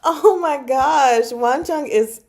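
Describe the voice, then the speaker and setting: A woman chatting casually as a book club host, recapping a novel with gushing, slangy asides and drawn-out emphasis. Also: high-pitched, a little mellow.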